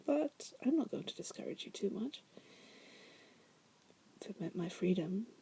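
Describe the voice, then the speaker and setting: A woman's voice: mid-range voice, expressive, a little slow, vocal fry, slight background noise, low-quality recording. A woman playing a wry, seductive demon prisoner in an ASMR roleplay, often whispering, with a teasing, musing manner. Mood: sly, amused. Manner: teasing, musing, drawling asides with soft chuckles. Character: wry, seductive female demon prisoner, patient deal-maker.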